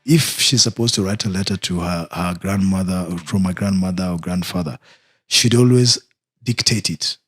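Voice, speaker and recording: A man's voice: sits low, moderate pace, breathy edge. A middle-aged man from Kenya whose first language is Luo tells long stories from his childhood in an interview, with many restarts and fillers. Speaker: guest. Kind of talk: storytelling interview answers.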